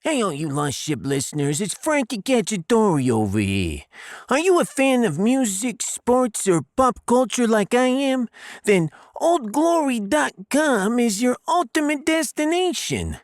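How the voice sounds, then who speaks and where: A man's voice: very high voice, sing-song, a little slow, no echo, clean studio sound, heavily acted. A man playing a brash working-class spaceship engineering boss, in a comic audiodrama. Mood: salesy. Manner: brash, colloquial wise-guy talk.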